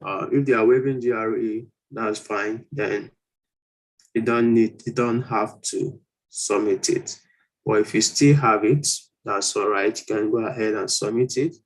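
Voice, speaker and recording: A man's voice: on the low side, steady tone, a little slow, low-quality recording. A man hosting an informal question-and-answer session, explaining things and often rephrasing his points. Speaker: host answering applicants' questions. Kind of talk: informal live Q&A, reading questions aloud and advising.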